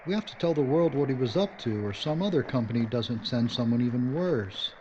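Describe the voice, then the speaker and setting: An actor playing a stubborn, sardonic filmmaker facing doom in an audio drama. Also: male voice, mid-range voice, expressive, brisk, a little mellow, close to an everyday voice. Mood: defiant. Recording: dull, noisy audio with ambience.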